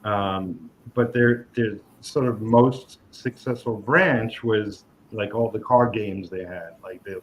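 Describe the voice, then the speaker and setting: A man's voice: on the low side, takes it slowly, few pauses. A man from New York hosting a casual interview chat, talking hesitantly with many ums and restarts. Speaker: podcast host. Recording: dull lo-fi sound with faint noise.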